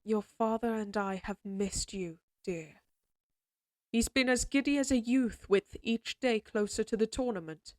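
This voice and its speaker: A woman's voice: a little high, a little slow, dry recording, close to an everyday voice. A woman playing a stern, middle-aged noblewoman and mother in a fantasy audio drama, speaking in a formal, commanding way. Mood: softened. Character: stern, imperious noble mother of a lady knight. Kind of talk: scripted fantasy audio roleplay acting.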